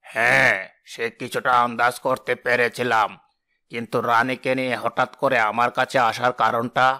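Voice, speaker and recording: A man playing a grave, solemn holy sage in a fairy tale cartoon, speaking slowly. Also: mid-range voice, level tone, pushed and bright. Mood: calm, welcoming. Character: grave holy sage giving ominous prophecy to a king. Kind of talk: fairy tale animation voice acting.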